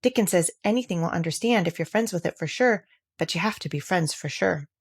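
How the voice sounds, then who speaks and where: A woman's voice: on the low side, animated, a little fast, husky. A woman voicing a frank, matter-of-fact, earnest young girl in a storybook reading. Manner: plain-spoken, earnest, childlike.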